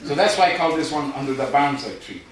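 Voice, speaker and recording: A middle-aged man reading his poetry live, with informal asides and a wry, deadpan storytelling manner. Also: on the high side, quick pace. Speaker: poet. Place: live event in a reverberant room, audience present. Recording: very echoey hall, distant, noisy.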